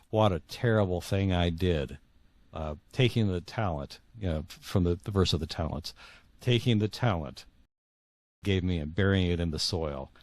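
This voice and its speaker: Deep-voiced man, halting and reflective. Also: no echo. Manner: halting, repeats words while searching for phrasing. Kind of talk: reflective interview conversation.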